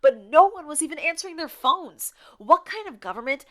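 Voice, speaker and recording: Actress as bright, opinionated woman radio host. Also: sits high, expressive, fairly quick. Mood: indignant, rallying. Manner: opinionated, exasperated, sarcastic. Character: outspoken, indignant woman co-hosting a town radio show. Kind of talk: audio drama acting as conversational radio banter.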